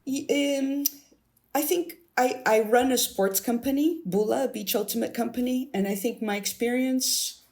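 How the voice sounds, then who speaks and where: A woman's voice: fairly high pitch, normal speed, darkish tone. A woman from Canada answering interview questions as a board candidate, earnest and thinking aloud.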